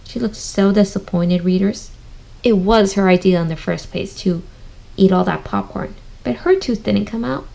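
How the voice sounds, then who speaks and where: Creaky-voiced woman teaching reading. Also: medium pitch, moderate pace, warm tone, low-quality recording. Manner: instructive, addresses listeners directly, thinks aloud. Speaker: teacher reading aloud to children. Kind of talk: children's read-aloud reading lesson.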